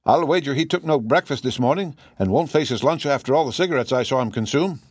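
A man voicing a shrewd, courteous male detective in an audiobook, with a brisk and assured way of talking. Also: medium pitch, lively intonation, breathy edge, no echo, pitched above the performer's own voice. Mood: casual, probing. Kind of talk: audiobook character voice.

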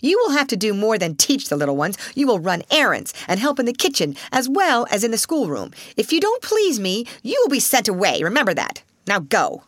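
A woman's voice: fairly high pitch, huge pitch swings, brisk, hardly any pauses, no echo, studio quality, pitched above the performer's own voice. A woman voicing a stern, domineering middle-aged headmistress who scolds coldly and harshly. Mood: scolding.

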